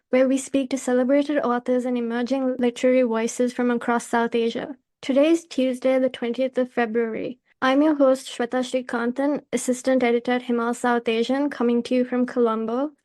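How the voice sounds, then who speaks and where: A woman with a high voice hosts a podcast. Her delivery is measured and formal, with little rise or fall, as if reading from a prepared script. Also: level tone, a little mellow. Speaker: podcast host and editor. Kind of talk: scripted podcast introduction and questions.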